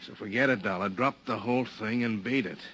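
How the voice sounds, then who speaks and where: A man's voice: on the low side, brisk, natural voice. Actor playing a cold menacing gunman. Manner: clipped, cold commands. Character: menacing male gunman, curt and threatening. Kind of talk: 1950s radio drama acting. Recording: old radio recording with faint noise.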